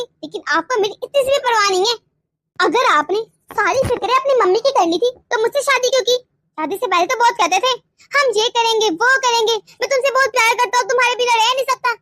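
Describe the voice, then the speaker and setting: औरत की आवाज़ में बहुत ऊँची आवाज़ वाली रूठी पत्नी. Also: female voice, very high-pitched. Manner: dramatic, repeats words when upset. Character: young wife, jealous, wounded, sulky and controlling. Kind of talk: melodramatic domestic story acting.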